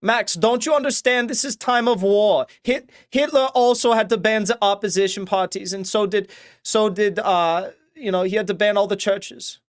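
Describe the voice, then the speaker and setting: A man parodying a shrill, sarcastic pro-war woman activist with a put-on Slavic accent. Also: normal speed, natural voice. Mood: mocking.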